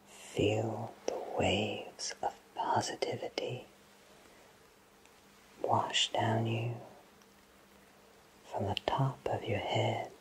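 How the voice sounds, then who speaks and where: A man in his thirties voicing a kindly fantasy potion shopkeeper in a soothing, breathy ASMR whisper. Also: lowish voice, rough recording. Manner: slow, soothing, reassuring. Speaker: ASMR roleplayer.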